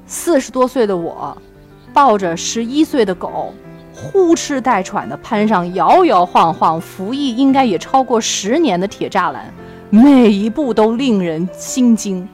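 A woman's voice: medium pitch, sing-song, a little slow, a little mellow, soft background music. A woman podcast host, chatting casually about a book and reading from it aloud.

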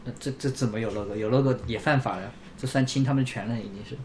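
A man's voice: medium pitch, fast talker, a little mellow, a little hiss or hum. A man co-hosting a casual chat about motor racing, tending to repeat his phrases as he talks. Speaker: podcast co-host.

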